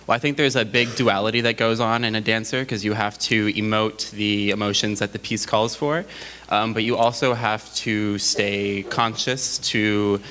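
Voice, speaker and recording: A man from Wisconsin, a professional dancer, answering a student question in a relaxed, thoughtful way with many ums. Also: fairly low pitch, normal speed. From Madison, Wisconsin. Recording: hall reverb, background noise.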